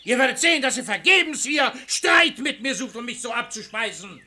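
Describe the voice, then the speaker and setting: An actor playing a sly, pious hypocrite and seducer in a German radio play, speaking rhymed verse in an unctuous, insistent, theatrical way. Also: male voice, very high-pitched, animated, fairly quick, pushed and bright, full character voice. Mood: outraged.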